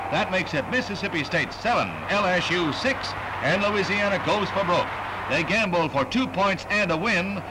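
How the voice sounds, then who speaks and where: A man's voice: high-pitched, background noise. An American man reading scripted newsreel narration in a formal, declamatory announcer style on a 1960s film soundtrack.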